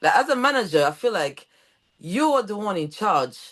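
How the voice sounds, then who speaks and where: A woman from Portugal with a Portuguese accent, hosting a podcast interview and telling stories in a chatty, animated way. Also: mid-range voice, normal speed. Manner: animated, storytelling with quoted voices. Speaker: podcast host. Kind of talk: casual interview chat.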